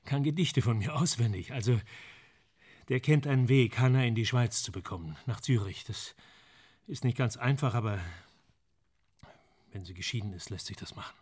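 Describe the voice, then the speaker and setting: A man in a German radio play acting an anguished, guilt-ridden screenwriter, halting and agitated as he pleads. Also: medium pitch, a little mellow, husky, close to an everyday voice. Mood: pleading, justifying.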